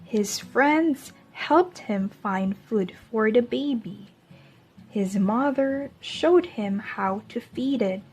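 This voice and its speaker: A woman reading a children's storybook aloud as a teacher, in a deliberate storytelling manner. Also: fairly high pitch, lively intonation, a little mellow, soft background music.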